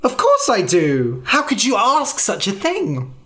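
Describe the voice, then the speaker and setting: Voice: medium pitch, huge pitch swings, a little slow, fairly bright tone, voice pushed up. A narrator doing an eager young would-be hero. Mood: hurt. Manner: eager and indignant.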